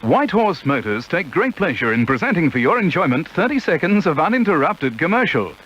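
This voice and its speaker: A man's voice: fairly high pitch, big pitch swings, fairly quick, fairly bright tone, breathy edge, lo-fi sound. A man reading a scripted commercial as a radio announcer, on an old early-eighties broadcast tape. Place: radio studio.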